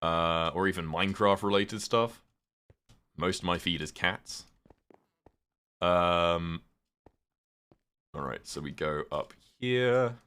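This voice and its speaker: A man's voice: deep voice, slow pace, pauses often, bright, forceful tone, clean tone. A young British man chatting casually with his stream chat while playing a game, rambling with lots of fillers. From Britain.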